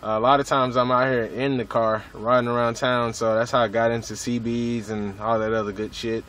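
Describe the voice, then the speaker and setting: A man's voice: medium pitch, level tone, brisk, a bit bright. An American man chatting casually as a radio hobbyist, in a laid-back, slangy way with some swearing.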